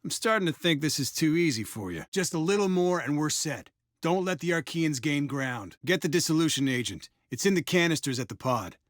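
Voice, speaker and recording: An actor playing a steady, encouraging military operative, giving clipped, commanding mission callouts for a video game. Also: male voice, fairly high pitch, a little fast, no echo, clean studio sound, natural voice. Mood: encouraging, firm.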